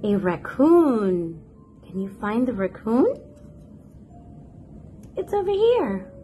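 High, lively woman reading to toddlers. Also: takes it slowly, faint music behind. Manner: playful, expressive, sing-song, with excited gasps and questions. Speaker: children's picture book narrator, host. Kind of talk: read-aloud for toddlers.

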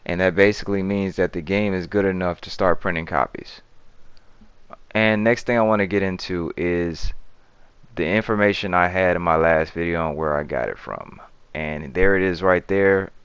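A man's voice: sits low, moderate pace, fairly bright tone, clean tone, no echo. A man hosting a video channel with casual, informal gaming news commentary.

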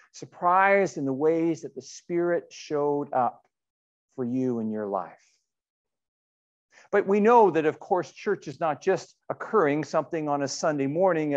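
Slow-paced middle-aged male pastor preaching. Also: a little high, lo-fi sound. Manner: earnest, deliberate, rhetorical repetition and questions. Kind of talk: sermon. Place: indoors in a church sanctuary.

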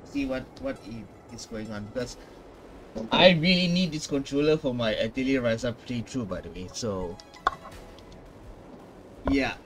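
A man's voice: medium pitch, animated, slow pace, long pauses, a bit bright, slight background noise, low-quality recording. A man giving casual gaming playthrough commentary, rambling and repeating himself. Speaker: gaming streamer.

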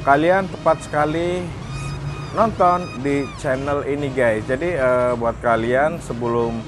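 A man's voice: medium pitch, unhurried, music in the background. A man hosting a casual product test, speaking in a hesitant, repetitive way. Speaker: vlog host. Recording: clearly noisy, vehicle ambience.

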